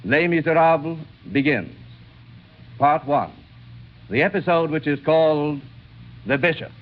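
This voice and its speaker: A man reads a scripted radio announcement in 1930s style. His voice is slightly high. The vintage recording is very thin and tinny. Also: animated, unhurried, very noisy.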